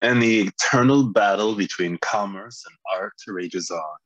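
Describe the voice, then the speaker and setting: An actor playing a sardonic, condescending wizard in his late twenties for an animated fantasy table read. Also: on the low side, unhurried, no room sound, muffled recording. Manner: droll, condescending. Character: haughty, sardonic twenty-seven-year-old male wizard.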